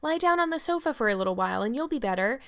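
Earnest storybook girl, played by a woman narrator: high, clear, tearful, given to melodramatic wailing. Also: lively intonation, brisk, fairly bright tone. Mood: anxious, pleading. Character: dramatic, tearful, earnest young orphan girl.

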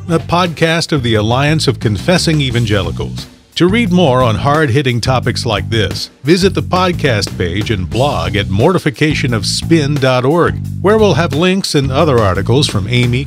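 Male announcer over background music. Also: mid-range voice. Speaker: podcast announcer. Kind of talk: scripted announcement. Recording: music bed under the voice.

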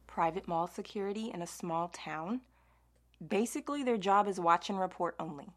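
A woman's voice: on the low side, moderate pace, lightly acted. A woman playing a practical, matter-of-fact leader of zombie survivors in an amateur audio drama.

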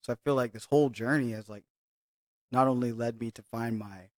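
A man's voice: mid-range voice, steady tone, a little fast, a little mellow, no echo, clean studio sound. A young man from Hawaii, a guest telling his story reflectively in casual podcast chat, with many fillers.

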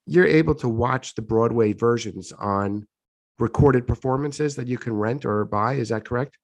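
Hoarse congested male host. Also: medium pitch, moderate pace. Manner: easygoing, asks questions. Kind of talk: casual interview chat.

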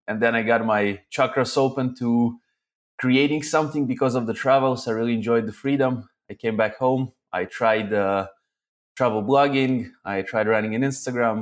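A man's voice: medium pitch, normal speed. A man from Ukraine raised in Israel, a podcast guest chatting casually and laughing often. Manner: relaxed, laughs often, says "man" a lot. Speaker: guest, online seller. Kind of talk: casual interview chat.

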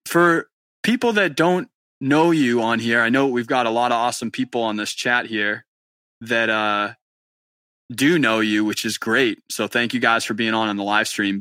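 A man's voice: medium pitch, bright, forceful tone, no room sound. A man from New England hosting a podcast interview, talking in a chummy, upbeat, loose way. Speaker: podcast host. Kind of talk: casual live-stream interview chat.

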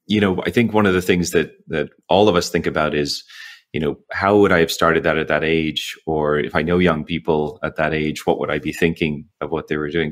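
A man hosting a virtual event, reading a guest introduction and then asking somewhat halting questions. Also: extremely low pitch, a bit bright. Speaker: event host and interviewer.

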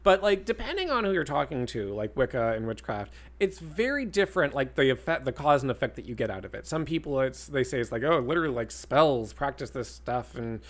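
A podcast co-host chatting casually, giggly, saying "like" constantly and breaking into loud laughter. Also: mid-range voice, big pitch swings, a little fast, few pauses. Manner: giggly, heavy use of "like", bursts of loud laughter. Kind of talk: casual chat.

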